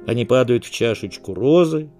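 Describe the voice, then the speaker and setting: A man's voice: mid-range voice, expressive, moderate pace, dark tone, faint music behind. A man giving a dramatic, declamatory scripted narration, like a storyteller accompanying a silent film.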